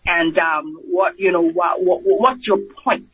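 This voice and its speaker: High-voiced Nigerian-accented man on radio. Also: a little slow, a little hiss or hum. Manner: earnest, repeats words when starting a thought, acts out quoted voices. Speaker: physician guest. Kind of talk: radio interview. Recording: dull lo-fi radio broadcast.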